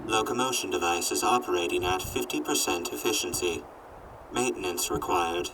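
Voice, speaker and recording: A man's voice: low-pitched, normal speed, recorded from a distance, faint music behind, rough recording. A man playing a morose robot in an audio drama, speaking formally and fatalistically. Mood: flat reporting. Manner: formal, flat, melodramatically fatalistic. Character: gloomy morose robot reporting system status. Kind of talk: post-apocalyptic audio drama acting.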